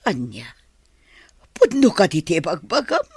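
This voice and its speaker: A woman's voice: fairly low pitch, wildly swooping pitch, unhurried, a little mellow, husky, no echo, exaggerated voice. A woman playing an older, incredulous mother who grumbles about money in a radio drama. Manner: complaining, incredulous. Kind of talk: Ilocano radio drama acting.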